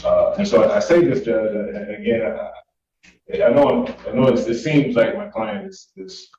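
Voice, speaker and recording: A man speaking as a defense attorney in court, pleading to a judge in a halting, deferential way. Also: mid-range voice, sing-song. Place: courtroom, picked up from a distance. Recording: echoey, distant, muffled courtroom audio with noise.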